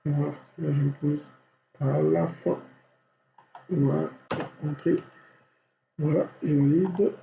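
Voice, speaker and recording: A man with a head cold walks through a software tutorial, talking himself through each click. His fairly high voice has a gravelly edge. The recording is dull and lo-fi, with a faint hum underneath. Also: fairly even intonation, takes it slowly, pauses often. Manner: thinks aloud step by step, constant "voilà". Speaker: software instructor.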